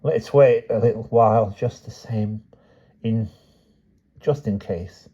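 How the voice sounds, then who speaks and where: A man voicing a frail, timid old aunt in an audiobook story, with a quavering, hushed voice and a mild, simple manner. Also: lowish voice, takes it slowly, husky, pitched above the performer's own voice. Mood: frightened, hushed. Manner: mild, simple, endearing old-fashioned address. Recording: dull, muffled audio.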